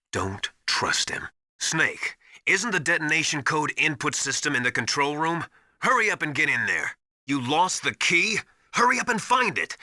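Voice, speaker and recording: An actor playing a knowledgeable, commanding military mentor in a video game, giving didactic and urgent instructions with emphasis. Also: male voice, fairly high pitch, sharp tone, no echo, studio quality, heavily acted. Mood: grave, cautioning. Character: knowledgeable, commanding male military survival mentor called Master. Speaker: radio support advisor.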